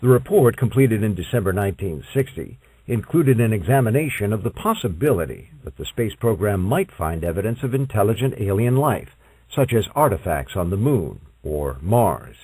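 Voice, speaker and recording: A man narrates non-fiction in a low, husky voice, recorded dry and close. His delivery is scripted and measured, with emphatic, dramatic phrasing. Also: sing-song, darkish tone, dry recording, poor audio. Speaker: audiobook reader.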